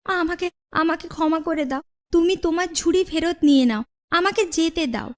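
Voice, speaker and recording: A poor, timid village vegetable seller from a cartoon fairy tale, played by a woman. Her voice is pitched high and she speaks in anxious exclamations and pleas. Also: moderate pace. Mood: terrified pleading.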